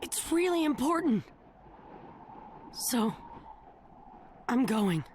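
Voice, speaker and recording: A woman voicing a stubborn, earnest teenage ninja boy in an anime game, with a raspy, boyish voice. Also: high voice, very animated, drawn-out words, a little mellow, slight background noise, heavily acted. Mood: determined. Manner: earnest, determined, emphatic. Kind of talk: English anime game voice acting. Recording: music behind the voice.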